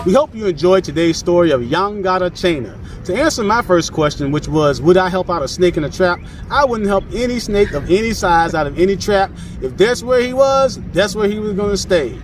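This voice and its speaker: A storyteller and host telling a children's tale in a theatrical, expressive, emphatic way, sometimes breaking into song. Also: mid-range voice, a little fast, few pauses, slight background noise. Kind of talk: animated children's storytelling with sung call-and-response. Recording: hip hop music under the voice.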